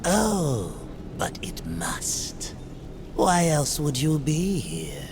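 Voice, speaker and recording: An actor playing a sly, greedy soul-eating demon merchant in a video game, with an insinuating, theatrical delivery that draws words out. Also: male voice, fairly high pitch, wildly swooping pitch, very slow, noisy background, exaggerated voice. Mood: sly, taunting. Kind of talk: video game cutscene acting.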